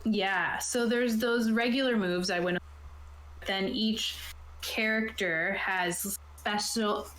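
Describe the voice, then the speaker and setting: A woman's voice: mid-range voice, fairly even intonation, slow pace, a bit bright. A woman talking casually as a game master, explaining rules and options to players. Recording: roomy echo, light background noise.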